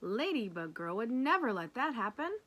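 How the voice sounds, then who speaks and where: Woman reading as an excited, confident little girl. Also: fairly high pitch, moderate pace. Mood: confident, insistent.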